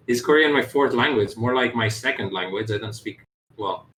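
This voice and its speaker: A man's voice: medium pitch, normal speed, a bit bright, reverberant, a little hiss or hum, poor audio. A man game developer chatting casually on a live stream, rambling with lots of "like" fillers and the odd swear word.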